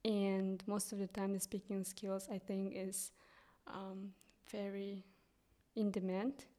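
Slow, mellow-voiced Kyrgyz woman. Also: mid-range voice, little pitch movement. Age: young adult. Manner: hesitant, many ums and restarts. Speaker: guest, English teacher. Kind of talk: casual interview.

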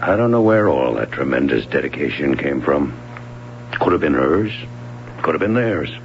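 A very deep-voiced American coach speaks in a measured, resigned way in an old radio drama. He is dry and matter-of-fact. The sound is dull, with some noise and hum. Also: very animated, exaggerated voice. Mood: reflective. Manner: measured, dry, plain-spoken. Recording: muffled radio recording with hum.

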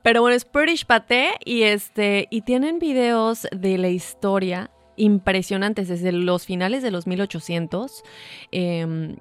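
A woman's voice: mid-range voice, measured pace, dry recording. A woman, co-hosting a casual chat and telling a story with many fillers. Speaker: podcast co-host.

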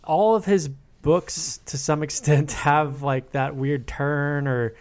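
A man's voice: on the high side, fairly even intonation, takes it slowly. A man co-hosting a podcast, reasoning aloud about film in casual, conversational speech with repeated words. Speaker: podcast co-host.

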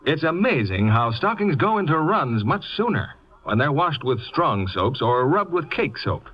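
A man's voice: on the high side, sing-song, normal speed, breathy edge. A man announcing in a polished 1950s radio advertising style. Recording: muffled old radio broadcast.

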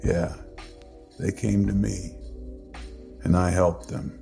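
A man plays a haunted, boozy middle-aged uncle in a horror story. His voice is very low and slow, halting and muttered as he carries his grief and secrets. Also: darkish tone, faint music behind, full character voice. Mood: haunted, sullen. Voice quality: gravelly, creaky low voice. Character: drunk, grief-stricken older uncle, a secretive former exorcist. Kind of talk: dramatic horror story acting.

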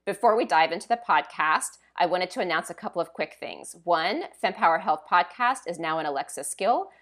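A woman hosts a podcast, moving from a scripted opening to lively, upbeat questions for her guest. Her voice sits a little lower than most women's. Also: fairly quick. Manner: upbeat and enthusiastic. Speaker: podcast host. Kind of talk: scripted intro and interview questions.

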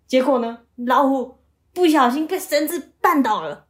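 A woman's voice: high-pitched, animated, normal speed. A woman improvising a bedtime story for a child, prompting with questions and repeating key phrases. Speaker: storyteller, parent.

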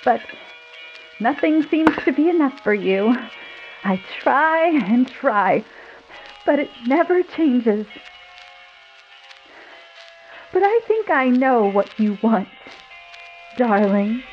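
A woman voicing a creepy, unstable housewife who speaks in a sweet, drawn-out way. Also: sits high, big pitch swings, lo-fi sound, soft background music, pitched above the performer's own voice, heavily acted. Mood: trembling. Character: eerie smiling housewife, sugary and unstable.

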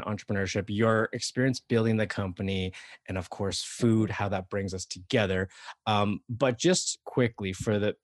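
A man's voice: on the low side, no room sound, studio quality. A man hosting a podcast interview from Toronto. He reads a scripted introduction, then asks casual questions full of fillers. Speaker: podcast host. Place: Toronto, remote call.

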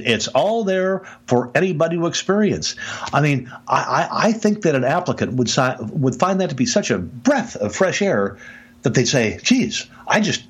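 A man's voice: medium pitch, slightly rough. A man hosting a podcast, giving emphatic business advice in a conversational way and often saying "I mean.". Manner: emphatic, animated, repeats "I mean". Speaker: podcast host, business speaker.

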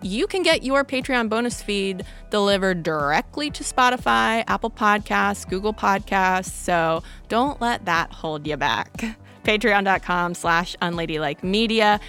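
Woman, podcast host, chatty outro, talks to listeners, medium pitch. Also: expressive, unhurried, fairly bright tone, faint music behind. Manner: chatty and upbeat, addressing listeners directly.

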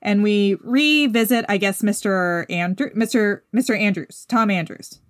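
A woman's voice: mid-range voice, normal speed, dry recording, studio quality. A woman co-hosting a podcast, recapping a TV show in a chatty way and teasing her co-host. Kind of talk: casual chat about a TV show.

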